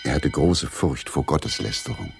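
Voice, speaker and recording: An actor playing a genial, theatrical English stage player of centuries past in a German radio drama, courteous and expansive. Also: male voice, rumbling low, expressive, normal speed, creaky, faint music behind, full character voice. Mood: knowing.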